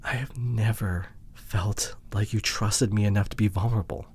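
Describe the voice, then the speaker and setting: Man acting as husky, low-voiced, hurting young boyfriend. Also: steady tone. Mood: hurt, pleading. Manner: halting, hushed, emotionally vulnerable delivery. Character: hurt, frustrated introverted boyfriend pleading with partner. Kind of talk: intimate ASMR roleplay audio drama.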